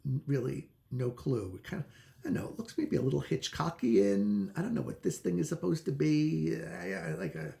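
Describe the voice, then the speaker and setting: A man reviewing books in a casual, unscripted way, enthusiastic and opinionated, often pausing to search for words. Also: on the high side, expressive, vocal fry, mellow. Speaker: book reviewer.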